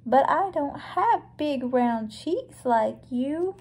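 A woman's voice: sits high, lively intonation, slow pace, darkish tone, voice pushed up. A woman voicing a polite, apologetic female animal in a storybook, sighing as she speaks. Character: polite, apologetic female giraffe and penguin mothers.

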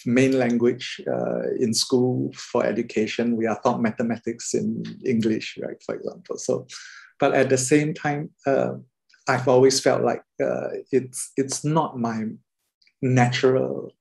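A Singaporean man, an artist, talking thoughtfully with many pauses and fillers on a video call. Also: mid-range voice, steady tone, vocal fry, darkish tone. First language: Mandarin.

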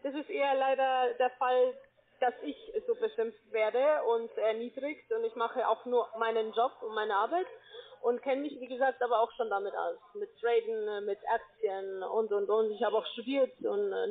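A woman's voice: on the high side, steady tone, moderate pace. A woman making an informal sales call as a call-centre agent, polite but hesitant and rambling. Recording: muffled lo-fi phone line.

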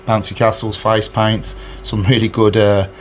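A man in his thirties talks in a somewhat low voice, earnest and halting, full of fillers and restarts. He is recorded indoors on a handheld recorder held close, with faint ambient noise behind him. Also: level tone. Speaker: charity match organiser. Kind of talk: casual interview answers. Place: indoors in front of a sponsor board. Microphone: handheld recorder held by interviewer.

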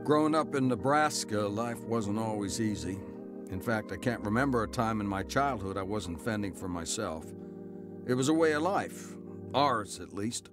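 A man's voice: on the low side, lively intonation, normal speed, lightly acted. An actor playing a grown man narrating his hard childhood in a reflective, matter-of-fact way. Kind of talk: scripted first-person drama narration. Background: music.

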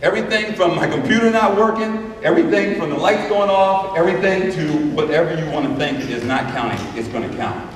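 A man's voice: a little high, fairly quick, slight background noise. A man giving a motivational talk on stage, emphatic and repeating key words, recorded from the audience. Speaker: motivational speaker. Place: large auditorium. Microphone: far camera in audience. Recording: echoey hall recorded from far off.

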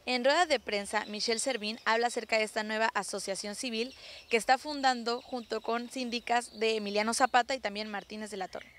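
Mexican woman news reporter, fairly high voice. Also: fairly even intonation, a little fast, fairly bright tone. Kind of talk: scripted news narration. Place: outdoors on a city street. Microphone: handheld microphone.

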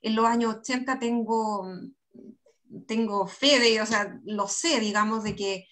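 Interview guest: Chilean woman, fairly high voice, candid conversational delivery, computer mic indoors. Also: steady tone, measured pace, fairly bright tone. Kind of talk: casual conversational interview talk. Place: indoor room on a video call. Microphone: computer video-call microphone.

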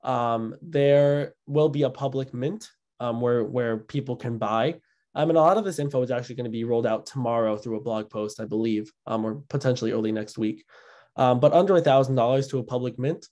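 A man's voice: mid-range voice, brisk, darkish tone. A young man hosting an online session, talking loosely with many ums and restarts while presenting and taking questions. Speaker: host of an educational webinar. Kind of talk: presenting and answering questions on a video call. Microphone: video call microphone.

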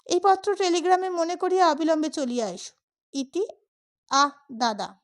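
Woman with a really high voice reading a funny story audiobook style, does the dialogue too. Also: animated, normal speed. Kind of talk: expressive scripted audiobook narration of a comic story.

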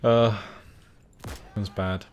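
A young man chatting with a friend over voice chat while they play, laid-back and quick to laugh. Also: lowish voice, level tone, slight background noise. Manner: laid-back, laughs easily, calls out enemies. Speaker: gaming teammate. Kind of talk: casual voice chat.

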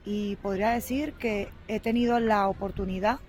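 A woman's voice: mid-range voice, fairly even intonation, darkish tone. Earnest Venezuelan woman outdoors. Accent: Venezuelan Spanish. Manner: thoughtful, measured, earnest. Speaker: guest. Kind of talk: street interview, relaxed answers. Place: outdoors in a city park. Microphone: handheld mic.

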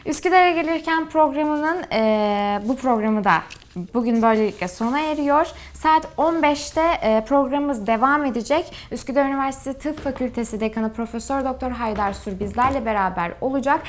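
A woman's voice: sits high, a little fast. A woman hosting a broadcast interview in a conversational, deferential and agreeable way. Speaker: programme host, interviewer.

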